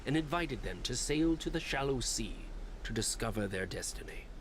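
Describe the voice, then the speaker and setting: An actor narrating a folk fable as an earnest storyteller, measured and dramatic. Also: male voice, mid-range voice, expressive, crowd noise, close to an everyday voice. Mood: earnest, mysterious. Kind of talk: scripted fairy-tale narration. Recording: music underneath.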